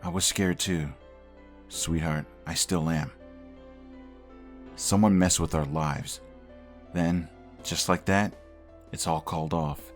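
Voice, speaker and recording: A man acts a bewildered, anxious husband in a comic book audio drama. His voice is low and earnest, and music plays softly behind it. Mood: quiet fear. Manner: earnest, uneasy. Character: worried, bewildered husband hounded by the city.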